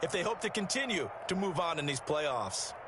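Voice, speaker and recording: A man's voice: fairly high pitch, big pitch swings, moderate pace, noisy background. A man with an American accent playing a folksy, opinionated football color commentator in a video game, speaking conversationally. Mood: assured, chatty. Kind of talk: conversational sports analysis.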